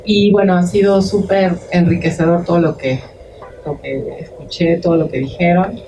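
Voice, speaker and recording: A woman in her fifties from Uruguay tells a reflective personal story in a panel discussion, pausing often as she thinks aloud. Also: lowish voice, steady tone. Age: 50s. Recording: distant mic with clear noise.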